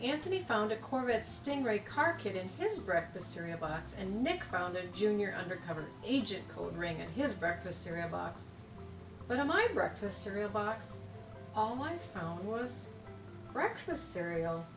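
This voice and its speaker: A woman reading a children's storybook aloud expressively, with dramatic emphasis and deliberate pauses on key words. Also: medium pitch, lively intonation. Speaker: storybook reader. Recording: echoey room, distant mic, music behind.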